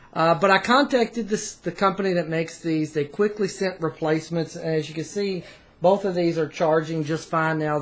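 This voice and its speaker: A man with a slightly high voice talks through a product review off the cuff. He is casual and a bit hesitant, rambling as he explains things. It is recorded in a small room at home on a camera's microphone.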